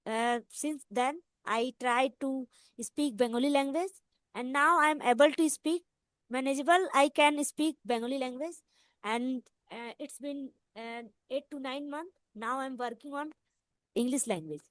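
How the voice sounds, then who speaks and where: High-voiced Indian woman, halting learner English. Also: no room sound. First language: Hindi. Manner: halting learner English with frequent fillers and restarts. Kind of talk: casual English practice conversation.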